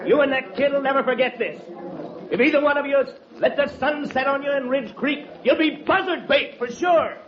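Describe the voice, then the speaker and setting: An actor playing a bullying, taunting cowboy villain with an American accent in an old Western radio drama. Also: male voice, very high voice, moderate pace. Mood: angry threat. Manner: menacing, taunting, folksy cowboy drawl of insults. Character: sneering, bullying male Western villain and ranch grabber. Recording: muffled, noisy old radio recording.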